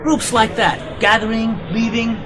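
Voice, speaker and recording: A woman's voice: steady tone, a little slow, music in the background, natural voice. A woman playing an earnest, insistent local believer who keeps to themselves, in an amateur sci-fi radio play. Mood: informative, insistent. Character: earnest local believer, loner restaurant regular. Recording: noisy home-made radio play, vehicle-like rumble.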